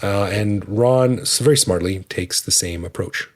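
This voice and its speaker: A man with a low, husky voice co-hosts a podcast. He chats casually, cracks jokes and laughs easily. The tone is loose and conversational. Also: measured pace. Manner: chatty, joking, laughs often. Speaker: podcast co-host.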